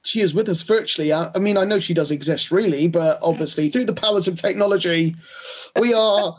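A man's voice: sits high, fairly quick, slightly rough. A middle-aged man co-hosting a podcast in casual chat over a video call. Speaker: podcast host. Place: indoors, home room.

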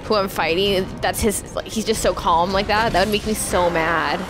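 A woman's voice: medium pitch, fairly even intonation, normal speed. A young adult woman chatting casually as she hosts a reaction show. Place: small indoor studio. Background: loud video game music.

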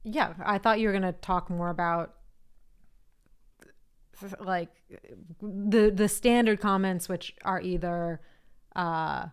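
A woman co-hosting a podcast, chatting casually and steering the conversation with measured, hedging remarks. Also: on the low side, steady tone, clean tone. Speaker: podcast co-host.

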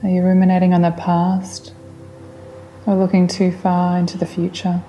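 A woman guiding a meditation in a gentle, soothing, unhurried way, speaking in calm instructions. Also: on the low side, poor audio. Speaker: meditation guide. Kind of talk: guided meditation. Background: relaxing music.

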